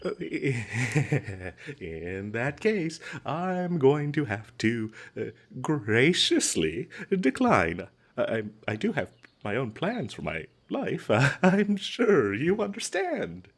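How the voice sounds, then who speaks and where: A man playing a nervous captured adventurer in a comedic fantasy roleplay, polite and halting, trying to bluff and placate. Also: mid-range voice, huge pitch swings, slightly rough, full character voice. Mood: nervous, evasive.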